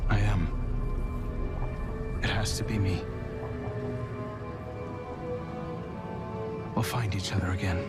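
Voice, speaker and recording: An actor playing an earnest, reflective warrior in a video game, speaking in a sincere, gentle, measured way. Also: male voice, sits low, fairly even intonation, loud music behind the voice, close to an everyday voice. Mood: resolute. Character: earnest, reflective male warrior seeking redemption. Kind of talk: video game character dialogue.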